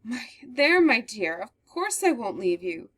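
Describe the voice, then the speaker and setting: A woman voicing a calm, kindly gentleman who soothes a frightened girl in a read-aloud play. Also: fairly high pitch, unhurried, breathy edge, heavily acted. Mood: soothing. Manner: soothing, reassuring.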